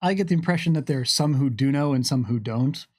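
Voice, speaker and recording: A man's voice: mid-range voice, fast, no room sound, studio quality. A man hosting a livestream, chatting casually through viewer questions in a wry, musing way with playful asides. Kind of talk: casual game lore Q&A chat.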